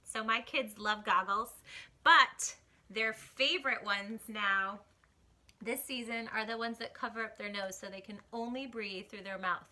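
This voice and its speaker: Very bright, high-voiced woman reading to children. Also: measured pace. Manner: animated, exclaiming, dramatic hushes. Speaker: children's storytime host. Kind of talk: expressive read-aloud of a rhyming picture book for children.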